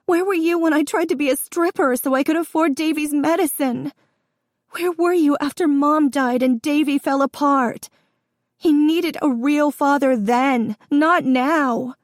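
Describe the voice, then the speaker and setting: Sobbing furious daughter, read by a woman, high strained cries, dry sound. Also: takes it slowly, a bit bright, dry recording, full character voice. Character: young woman, hurt and angry grown daughter.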